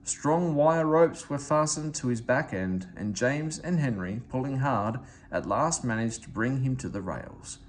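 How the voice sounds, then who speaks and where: A man reading a children's story aloud in an expressive, dramatized way. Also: medium pitch, measured pace, few pauses. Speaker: storybook reader.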